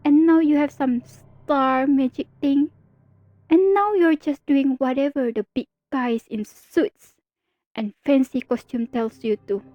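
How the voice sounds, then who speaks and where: Slow, teasing villainess, a woman performing. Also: high-pitched, dull audio. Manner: teasing, playful, confiding. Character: teasing female villain, listener's nemesis. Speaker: ASMR roleplay performer voicing a villain. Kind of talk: ASMR roleplay monologue.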